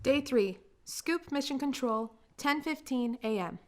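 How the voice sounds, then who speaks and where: Fairly high-pitched woman narrating radio drama. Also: expressive, measured pace, very noisy.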